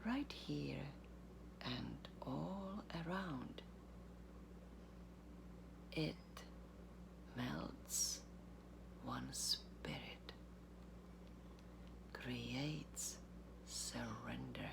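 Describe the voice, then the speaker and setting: A woman giving a literary reading, with deliberate, measured phrasing, long pauses and a breathy, gravelly voice. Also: extremely low pitch, lively intonation, noisy background. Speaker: artistic director of a theatre, reading aloud.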